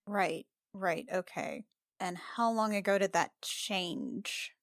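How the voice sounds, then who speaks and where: A woman playing a female adventurer in a tabletop game, casual, tactful and curious, with halting, colloquial speech. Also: mid-range voice, no echo, studio quality. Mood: thoughtful, uneasy. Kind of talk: in-character tabletop roleplay.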